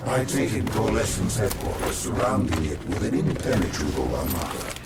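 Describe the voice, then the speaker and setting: An actor playing a malevolent undead overlord in a video game, grandiose and menacing, with an echoing, breathy voice. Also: male voice, deep voice, very animated, very noisy. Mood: gloating, intense. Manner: grandiose, slow, ominous. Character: malevolent male undead overlord bent on ending mankind. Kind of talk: dramatic game narration. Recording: roomy echo, distant, loud music behind.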